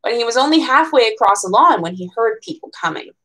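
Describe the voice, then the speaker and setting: Animated young American woman reading a storybook. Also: on the high side, quick pace, poor audio. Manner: expressive storytelling, chatty casual asides. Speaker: bookseller reading at a storytime event. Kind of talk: children's picture book read-aloud with casual asides. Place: small room at home.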